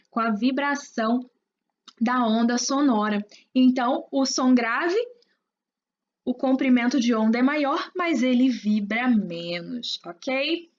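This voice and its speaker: A woman's voice: on the high side, slight background noise. A woman teacher explaining a science lesson, talking straight to her students and checking they follow along. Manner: explanatory, addresses students with frequent check-ins. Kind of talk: recorded class explanation. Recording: dull lo-fi recording.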